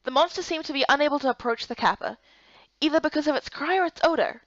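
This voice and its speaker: A woman giving expressive, dramatic first-person narration for a visual novel story. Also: sits high, quick pace, dry recording, muffled recording. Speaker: narrator and voice actor.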